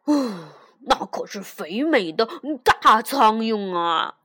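A woman's voice: mid-range voice, expressive, slow pace, pushed and bright, full character voice. A woman voicing an eager little frog boy. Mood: wistful. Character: young male frog, eager and greedy.

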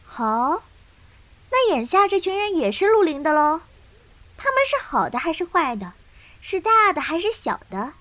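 A woman's voice: very high voice, sing-song, moderate pace, sharp tone, full character voice. A woman playing a fiery, naive, petulant young swordswoman in a martial-arts audio drama. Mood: curious, mocking. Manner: blunt, petulant, impulsive.

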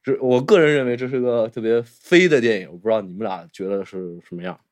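A man co-hosting a casual film discussion, blunt and slangy, often halting and repeating words. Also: mid-range voice. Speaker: podcast co-host.

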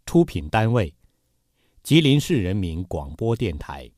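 A man's voice: lowish voice, big pitch swings, measured pace, noisy background. A man announcing titles in a formal, scripted style.

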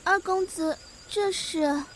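A woman's voice: very high-pitched, slow, a little hiss or hum. A woman playing a timid, deferential young servant girl in a costume radio drama. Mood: polite, grateful. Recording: echoey long reverb, far off, music behind.

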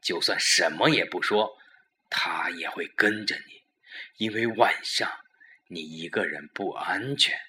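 A man playing a smug, scheming murder plotter in a suspense audio drama, coaxing his lover with emphatic, deliberate instructions. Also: sits low, sharp tone, heavily acted. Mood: instructing.

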